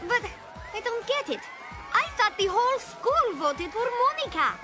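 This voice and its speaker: A woman playing a bubbly, dreamy teenage vampire girl in a children's cartoon, with an expressive, exclamatory delivery. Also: very high voice, normal speed, over music. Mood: puzzled. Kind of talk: animated children's cartoon acting.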